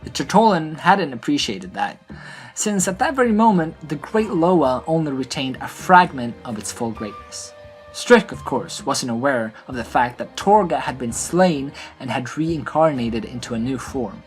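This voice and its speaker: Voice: medium pitch, expressive, normal speed. A storyteller narrates a scripted fantasy tale. The voice sits in a middle register, and music plays faintly behind it.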